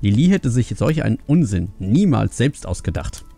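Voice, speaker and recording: Man acting a stern, animated panda father. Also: on the low side, moderate pace, creaky, no room sound, faint music behind, full character voice. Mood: stern, reproachful. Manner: stern, reproachful. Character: strict, angry panda father scolding his family. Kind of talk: acted fantasy audiobook dialogue.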